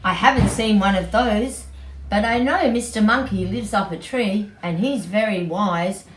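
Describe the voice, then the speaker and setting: A woman's voice: mid-range voice, a little slow, natural voice. A woman voicing a kindly, helpful female sheep in a children's story, emphatic, with bleats. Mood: apologetic, helpful. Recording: distant mic, roomy echo, background noise.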